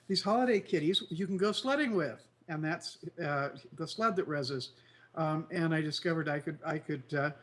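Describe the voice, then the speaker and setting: Congested male co-host, higher voice, nasal and croaky, meandering delivery. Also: a bit bright. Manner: rambling, many fillers and restarts. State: congested, recovering from flu. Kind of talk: casual news chat show.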